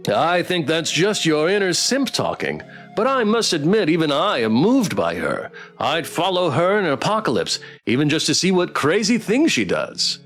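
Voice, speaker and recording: A man's voice: fairly high pitch, huge pitch swings, normal speed, few pauses, a bit bright, soft background music. A man voicing a peppy male dating game show host in a visual novel, with teasing, showy patter. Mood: smitten teasing. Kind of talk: visual novel dialogue read-aloud.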